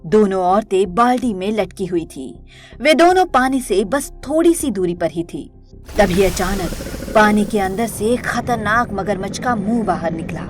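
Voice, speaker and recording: A woman reading scripted narration for an animated moral story as its storyteller. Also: mid-range voice, a little slow, soft background music.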